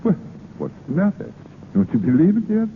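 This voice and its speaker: A man's voice: sits high, very animated, a little fast. An actor playing an eager, devoted man of means in an old radio drama, fond and reassuring. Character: eager, devoted wealthy man adoring his sweetheart. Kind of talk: 1930s-40s radio drama acting. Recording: tinny noisy vintage radio recording.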